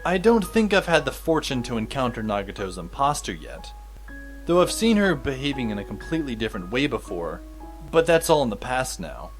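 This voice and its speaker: A man's voice: medium pitch, sing-song, a little fast, soft background music, lightly acted. A man playing a wry, exasperated teenage schoolboy who narrates his story in a dry, sardonic way. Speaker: narrator and lead actor in a fan audio drama. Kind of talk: scripted first-person narration.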